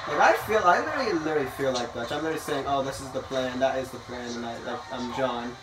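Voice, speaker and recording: A man's voice: mid-range voice, expressive, fast talker. Rambling young man in an echoey room. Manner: rambling, playful, bossy about the plan. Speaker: gaming video host. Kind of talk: casual gaming chat. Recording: echoey room, mic far, noisy.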